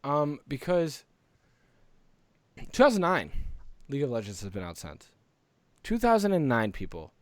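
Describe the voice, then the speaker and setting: Male podcast co-host, mid-pitched, earnest and rambling, casual gaming chat, dry close-mic sound. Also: big pitch swings, unhurried, long pauses, no echo.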